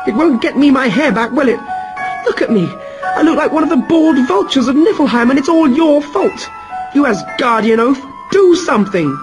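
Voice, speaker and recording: A man voicing a furious goddess who scolds her husband in a shrill, very high voice. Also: expressive, normal speed, music in the background, rough recording.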